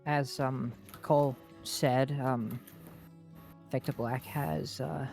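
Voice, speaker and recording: A man speaks in character at a tabletop game with a noticeably creaky, gravelly voice. He pauses often mid-thought, and soft music plays behind him. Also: medium pitch, fairly even intonation. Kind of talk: halting in-character roleplay.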